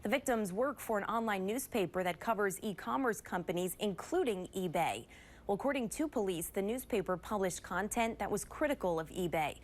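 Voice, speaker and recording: American woman news anchor, noticeable background noise. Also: mid-range voice. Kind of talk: scripted news broadcast narration.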